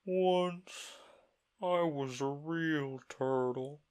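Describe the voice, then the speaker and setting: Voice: medium pitch, a little mellow, smooth, pitched above the performer's own voice. Reader doing a drawling sobbing Mock Turtle. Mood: mournful. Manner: slow mournful drawl, sighing. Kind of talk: storybook reading.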